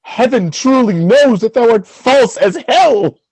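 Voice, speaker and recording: An actor playing a jealous, tormented lord and husband in a Shakespearean radio play, theatrical and volatile, with a husky voice. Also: male voice, very high voice, expressive, unhurried, no room sound, exaggerated voice. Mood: furious, anguished. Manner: theatrical, volatile, swinging between quiet and fury.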